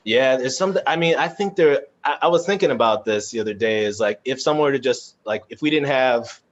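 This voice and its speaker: A man moderating a casual panel chat, feeling his way haltingly through thoughts and audience questions over a dull online call. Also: medium pitch. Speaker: moderator of a cast Q&A. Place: remote live video chat.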